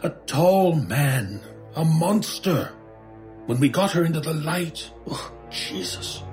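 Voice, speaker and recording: An actor playing a frightened, haunted shopkeeper in a radio horror drama, halting and stammering in distress. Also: male voice, fairly high pitch, big pitch swings, a little mellow, soft background music. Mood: troubled, haunted. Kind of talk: old-time radio horror drama acting.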